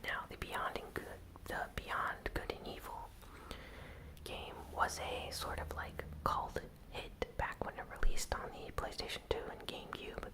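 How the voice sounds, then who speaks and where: A host whispering a gaming news recap in ASMR style, with soft, unhurried, deliberate phrasing.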